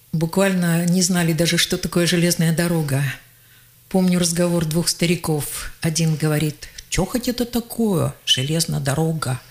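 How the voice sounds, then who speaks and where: An older woman, a journalist and geologist, telling lively anecdotes as a guest on a radio interview and acting out the voices she quotes. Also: on the low side.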